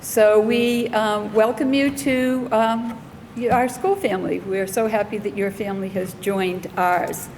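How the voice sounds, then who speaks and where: A woman's voice: mid-range voice, fairly even intonation, unhurried, a little mellow. Woman welcoming a group in an echoey hall. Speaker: school principal hosting a parent orientation. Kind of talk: welcome speech to an audience with introductions. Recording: echoey hall, noticeable background noise.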